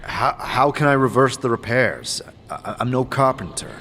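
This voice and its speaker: A man's voice: medium pitch, lively intonation, moderate pace, noisy background, lightly acted. A man playing a hesitant, courteous adventurer in an audio drama, earnest and prone to stammering. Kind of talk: scripted audio drama acting.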